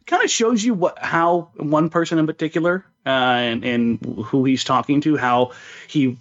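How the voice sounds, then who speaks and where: A man's voice: fairly high pitch, moderate pace, a bit bright, muffled recording. A man co-hosting a sports podcast in casual chat, often repeating words as he thinks aloud.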